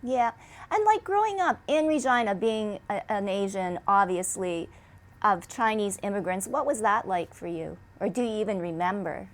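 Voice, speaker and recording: A woman hosting a casual interview, upbeat and animated, laughing often. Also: mid-range voice, normal speed. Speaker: host.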